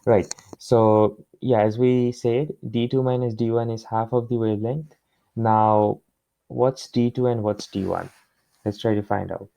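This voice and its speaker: A man working through a problem step by step as a tutor, in a halting way with pauses and repeats. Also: on the low side, fairly even intonation, gravelly, mellow. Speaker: physics tutor. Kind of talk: explaining a worked problem step by step. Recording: dull, muffled lo-fi close recording.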